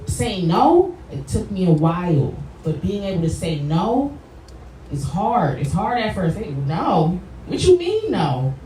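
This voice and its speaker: A woman's voice: fairly low pitch, lively intonation, measured pace. An American woman answering as a podcast guest in a reflective, emphatic, conversational way, often checking in with the listener. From New Jersey, United States. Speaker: guest, wellness coach and therapist. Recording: roomy echo, distant mic, loud background noise.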